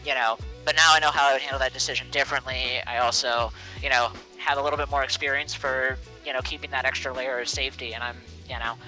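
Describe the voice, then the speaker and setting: Young man with a very bright, forceful voice. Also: medium pitch, steady tone, quick pace, few pauses, soft background music, poor audio. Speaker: interview guest, air traffic controller. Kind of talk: casual conversational interview. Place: small aircraft cockpit in flight.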